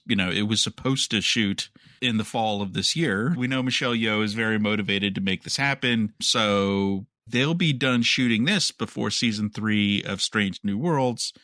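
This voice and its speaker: A man's voice: mid-range voice, sharp tone, no room sound, studio quality. A man co-hosting a podcast, casually discussing news and speculating in a conversational way. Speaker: podcast host.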